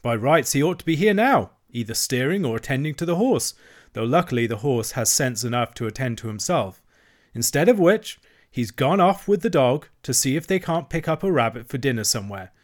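A man's voice: mid-range voice, lively intonation, fairly quick, close to an everyday voice. A man voicing a hearty, shrewd middle-aged barge woman who speaks politely with a sly edge.

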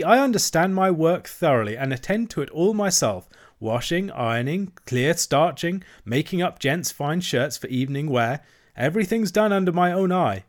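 A conceited storybook toad pretending to be a washerwoman, put on by a male reader. He speaks in a high, lifted voice that swoops about as he boasts airily. Loud and showy, with a falsetto-like edge. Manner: airy, bragging, then nervous.

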